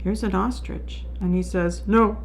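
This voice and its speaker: A woman's voice: on the high side, sing-song, takes it slowly, slight background noise. A woman reading a picture book aloud to young children in a sing-song manner, putting questions to her listeners. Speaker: preschool teacher reading aloud.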